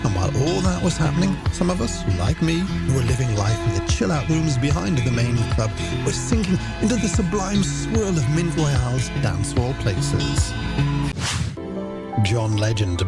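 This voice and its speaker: Irish man, playful gravelly late-night radio host. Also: medium pitch. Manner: playful, flowery, theatrical phrasing. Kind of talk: scripted radio presenting.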